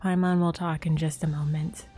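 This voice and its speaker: A woman's voice: sits low, level tone, moderate pace, warm tone, slightly rough, soft background music. A woman livestreaming a game, chatting casually and in a giggly way with her viewers. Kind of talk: casual livestream chat while gaming. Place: livestream with game music behind.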